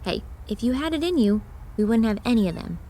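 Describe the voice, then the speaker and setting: A woman's voice: on the high side, sing-song, brisk, dark tone, rough recording. A woman playing a sardonic, jaded young woman in a horror audio drama, blunt and dry in manner. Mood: irritated.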